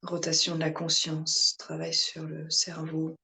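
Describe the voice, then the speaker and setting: A woman yoga instructor guiding a relaxation meditation in calm, soothing short phrases with pauses. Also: low-pitched, vocal fry.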